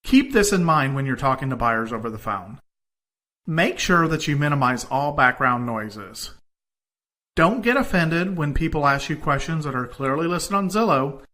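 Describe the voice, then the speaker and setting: A man narrating a scripted lesson as a course instructor, measured and deliberate, leaning on his transition words. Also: a little high, animated, sharp tone. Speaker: instructor in a home-selling course.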